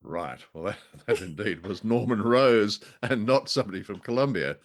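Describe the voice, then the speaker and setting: A man co-hosting a radio show, chatting casually about old drama in a halting, wry, bookish way. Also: on the low side.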